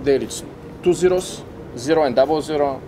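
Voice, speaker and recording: A man in his thirties, a casino host, patiently explaining a table game in halting, non-native phrasing. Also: fairly high pitch, noisy background. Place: casino floor on a cruise ship.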